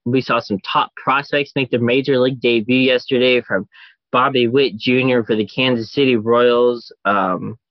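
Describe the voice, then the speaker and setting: A man's voice: medium pitch, dry recording. A young man hosting a sports podcast, running through the topics in an upbeat, orderly way. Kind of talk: scripted-sounding show hosting. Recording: dry, dull lo-fi sound.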